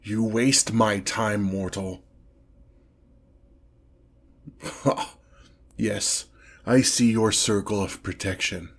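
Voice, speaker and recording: An ancient lord of chaos acted by a man holds forth in a low voice for an ASMR fantasy roleplay. He takes his time over every phrase, pompous and theatrical. His menace comes with haughty exasperation at petty mortals. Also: a little mellow. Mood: contemptuous, menacing. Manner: pompous, theatrical, deliberate drawn-out delivery.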